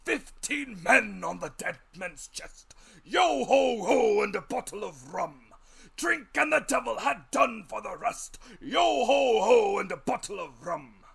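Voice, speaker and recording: A man playing a drunken, menacing old pirate captain in a storybook reading, bellowing and loud. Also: high voice, animated, takes it slowly, vocal fry, breathy edge, pitched above the performer's own voice, exaggerated voice. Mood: rowdy drunken singing. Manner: bellowing, sings loudly.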